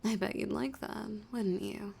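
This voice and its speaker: A woman voicing a flirty, dominant female villain in an intimate audio roleplay, teasing and often dropping into a breathy whisper. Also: medium pitch, a little slow, a little mellow, heavily acted. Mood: teasing, confident.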